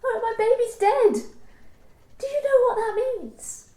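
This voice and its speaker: An actress playing a grieving young mother and office worker, speaking quietly and haltingly, then breaking into anguished outbursts. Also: very high-pitched, animated, reverberant, full character voice. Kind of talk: amateur radio play reading.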